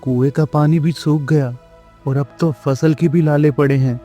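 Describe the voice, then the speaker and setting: Man playing a bright-voiced, worried humble farmer. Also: on the high side, fairly even intonation, moderate pace, soft background music, natural voice. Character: worried, humble, debt-ridden male village farmer. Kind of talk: Hindi moral-story cartoon dialogue.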